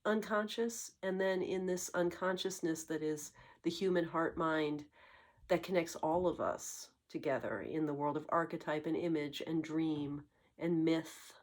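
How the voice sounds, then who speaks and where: A woman hosting a tarot channel, getting over a cold and slightly congested, speaking in a reflective, musing way with frequent pauses and fillers. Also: lowish voice, a little mellow. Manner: musing, searching for words, many fillers. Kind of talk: reflective spoken monologue.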